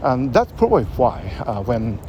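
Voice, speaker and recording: Man, Japanese accent, halting, street traffic noise. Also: mid-range voice, big pitch swings. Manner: halting, many uh fillers and pauses. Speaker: host. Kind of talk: casual spoken commentary. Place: outdoors in a city.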